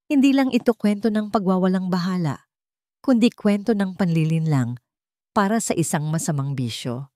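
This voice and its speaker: Woman storyteller, somewhat low voice, dry close sound, even dramatic delivery. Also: no room sound. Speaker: narrator. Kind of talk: scripted dramatic story narration.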